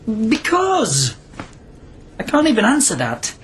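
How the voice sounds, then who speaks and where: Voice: medium pitch, huge pitch swings, slow pace, a little hiss or hum. An actor playing a stubborn, needy, long-held captive in a radio drama, with intense, volatile delivery. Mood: hurt, irritated.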